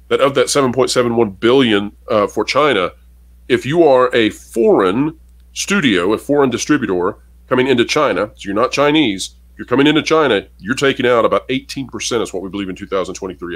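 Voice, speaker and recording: A man co-hosting a casual commentary show, reading out an article and giving emphatic opinions to viewers. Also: lowish voice. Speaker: podcast co-host.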